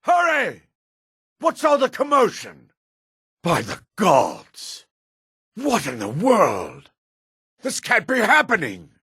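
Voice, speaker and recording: A man voicing a gruff, guarded dark elf townsman in a fantasy video game, with a raspy, measured, theatrical delivery. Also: high voice, huge pitch swings, no room sound, clean studio sound, heavily acted. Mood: panicked shouting. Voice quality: raspy, gravelly.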